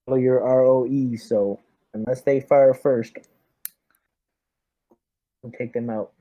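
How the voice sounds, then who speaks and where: A man playing a tabletop roleplaying game as squad leader, giving deliberate, military-style orders. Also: medium pitch, steady tone, no room sound, lo-fi sound. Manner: deliberate, military briefing phrasing. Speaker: tabletop RPG player leading the squad as lieutenant.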